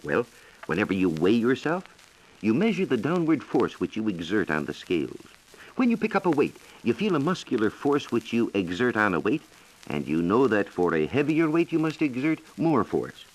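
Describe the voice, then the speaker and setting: Low-pitched American man, lively 1940s radio explainer. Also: poor audio. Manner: explanatory, measured, lecturing. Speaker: science reporter and guest expert. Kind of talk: scripted educational radio dialogue.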